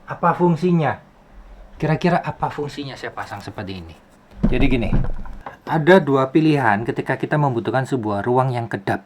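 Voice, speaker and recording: A man's voice: mid-range voice, brisk, a little hiss or hum. Man explaining in a casual tutorial. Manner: animated explaining with rhetorical questions and repeated phrases. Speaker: YouTube host, film soundman.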